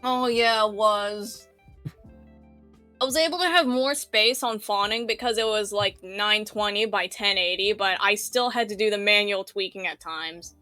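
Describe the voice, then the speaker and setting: A woman's voice: medium pitch, measured pace, bright, forceful tone, no room sound. A woman chatting casually as she guides someone through a tutorial, with music in the background. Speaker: tutor guiding a visual novel project.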